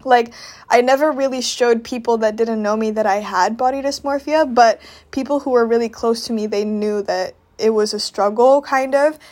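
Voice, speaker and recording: Young adult woman, from Indonesia, slightly high voice, casual personal podcast talk, informal slangy phrasing. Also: moderate pace, darkish tone. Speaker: podcast host.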